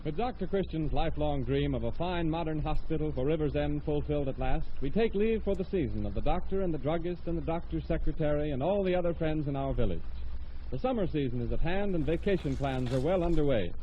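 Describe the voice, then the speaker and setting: A man's voice: fairly high pitch, expressive, fast talker, slight background noise. An American man working as a radio announcer, delivering polished copy in 1930s broadcast style. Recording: dull 1930s radio recording with music. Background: loud music.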